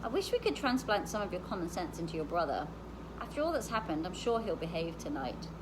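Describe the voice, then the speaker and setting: A woman reading the part of a calm, practical, knowledgeable woman explaining things in a storybook. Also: lowish voice, brisk, background noise. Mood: matter-of-fact.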